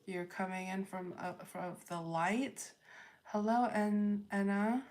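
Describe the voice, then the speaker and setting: A woman hosting a live tarot reading, speaking off the cuff in a halting way, thinking aloud and repeating phrases as she chats with viewers. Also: mid-range voice, little pitch movement, darkish tone. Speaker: tarot reader, livestream host. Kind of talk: spontaneous spiritual reading, chatting with live viewers.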